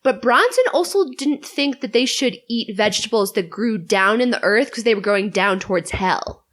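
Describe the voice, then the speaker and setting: A woman's voice: medium pitch, expressive, normal speed, fairly bright tone. A woman in casual podcast chat, emphatic and sardonic, swearing freely. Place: in-person table recording.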